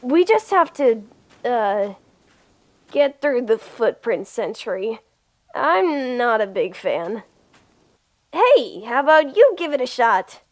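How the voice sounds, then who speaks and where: Young woman in a comic dub, high voice, nervous upbeat, slow-ish. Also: very animated. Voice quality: nervous, upbeat, filler-heavy.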